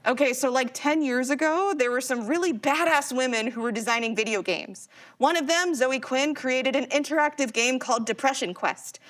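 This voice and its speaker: A woman's voice: high voice, normal speed, a bit bright. A woman playing a geeky, chatty modern character in a live audio drama, explaining things in a slangy, casual way. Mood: guarded, upset.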